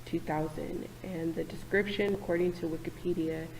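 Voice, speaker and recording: A woman hosting a casual panel discussion in a church meeting room, talking in a relaxed, hesitant way with many fillers. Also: on the low side, normal speed, in a large hall, a little hiss or hum, poor audio. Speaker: host.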